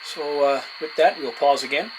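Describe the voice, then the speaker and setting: A man chats casually in a halting, laid-back way, using CB radio jargon like a hobbyist at his desk. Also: on the high side. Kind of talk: casual radio chat and demo narration. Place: indoor desk beside CB radio sets. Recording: noisy room with radio hiss. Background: radio static and room noise.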